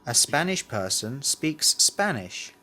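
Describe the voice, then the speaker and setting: A man's voice: mid-range voice, sing-song, slow, pushed and bright. A man reading a scripted language lesson, carefully enunciating model words and sentences. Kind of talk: scripted language drill, read aloud.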